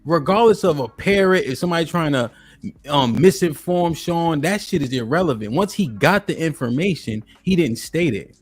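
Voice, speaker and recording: A man on a panel calmly summing up the point and steering the talk. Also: fairly high pitch, normal speed, breathy edge, soft background music. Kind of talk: heated panel debate.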